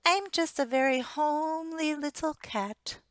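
A woman's voice: sits high, measured pace, fairly bright tone, no echo, studio quality, voice pushed up. A woman voicing a small, humble, homely kitten from a picture book, meek and modest.

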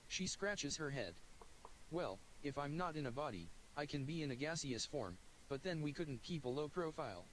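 A gaming video maker reading a visual novel's narration aloud in a plain, steady manner, recorded at a desk at home. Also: male voice, on the high side, normal speed, a little hiss or hum, low-quality recording. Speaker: gaming YouTuber.

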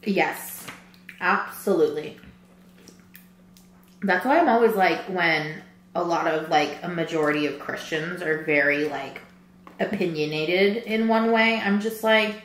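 A young woman chatting over a meal with her friend, emphatic and quick to laugh. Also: lowish voice, roomy sound, slight background noise. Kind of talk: casual chat while eating. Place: bright room indoors at a table.

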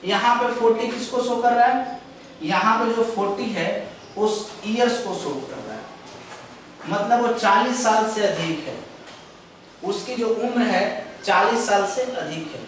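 Teacher in an echoey classroom. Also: male voice, sits high, animated, recorded from a distance, slight background noise. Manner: explains step by step, drawing out example sentences slowly; mixes English phrases into Hindi explanations. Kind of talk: classroom grammar lecture at a chalkboard. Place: classroom with a chalkboard. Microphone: far camera. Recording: echoey room recorded from afar.

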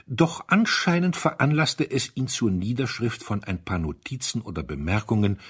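A man with a fairly low, husky voice narrates a literary tale. His delivery is deliberate and measured, placing expressive emphasis on phrases as a practiced audiobook reader does.

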